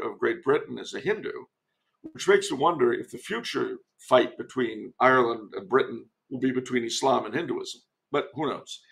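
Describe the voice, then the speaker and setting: A man's voice: medium pitch, gravelly, a bit bright, poor audio. A man giving casual historical commentary as a podcast guest, digressive and given to wry asides.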